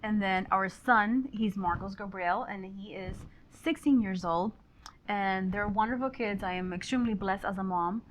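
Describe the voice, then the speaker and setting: A woman's voice: mid-range voice, normal speed, slight background noise. A woman in her forties, from Honduras and raised in Miami, a real estate agent chatting as an interview guest and laughing often. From Honduras, grew up in Miami. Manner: warm, laughs often, some fillers. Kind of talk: casual interview chat. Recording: slightly dull lo-fi sound.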